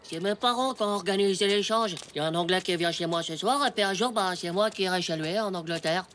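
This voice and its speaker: A voice actor playing a cheeky, impulsive schoolboy in an animated series, slangy, whiny and exclamatory. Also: mid-range voice, fast, slight background noise, lightly acted. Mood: boastful. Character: cheeky impulsive schoolboy, naive girl-crazy schemer.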